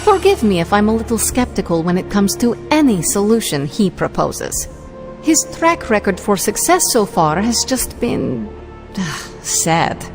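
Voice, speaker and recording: An actress playing a sardonic, blunt, fiercely loyal superheroine in a video game cutscene, with a wry manner. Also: female voice, mid-range voice, big pitch swings, measured pace. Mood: skeptical. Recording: clear music behind voice.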